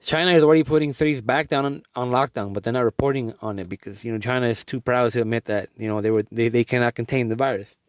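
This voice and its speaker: A man's voice: medium pitch, fast, hardly any pauses, dry recording, clean studio sound. A young man from Guatemala giving an earnest, rambling video update, often restarting his sentences. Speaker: vlogger.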